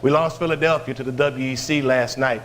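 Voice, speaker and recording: Actor as a blunt, no-nonsense rebel colonel. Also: male voice, mid-range voice, fairly even intonation, fairly quick, slight background noise, natural voice. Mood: blunt, stern. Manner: blunt, emphatic, commanding. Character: gruff, no-nonsense male colonel running a rebel base. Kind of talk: scripted game cutscene acting.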